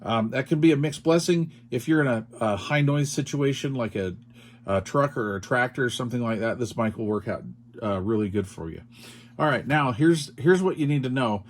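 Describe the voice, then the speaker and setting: A man's voice: mid-range voice, moderate pace. Man giving a casual how-to. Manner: informal, explanatory, checks in with "all right" and "okay". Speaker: radio product demonstrator. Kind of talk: casual hands-on tutorial.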